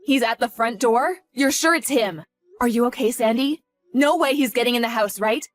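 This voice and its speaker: A woman's voice: a little high, moderate pace, soft background music, close to an everyday voice. A woman voicing a protective, anxious single mother who speaks firmly and pleads in a dramatized story. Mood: worried. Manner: firm, worried, pleading.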